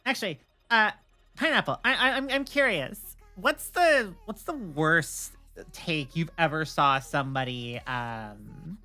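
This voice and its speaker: A woman's voice: sits low, animated, unhurried, sharp tone, soft background music. A woman streamer chatting casually and animatedly, swearing freely as she talks. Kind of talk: casual livestream chat.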